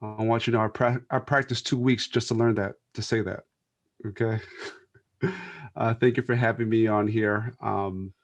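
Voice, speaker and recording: A man's voice: lowish voice, steady tone, normal speed, dull audio. A Filipino American man sharing casually as a panel guest, easygoing and chuckling, with many fillers. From United States, Filipino American. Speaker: church worship team member, panel guest.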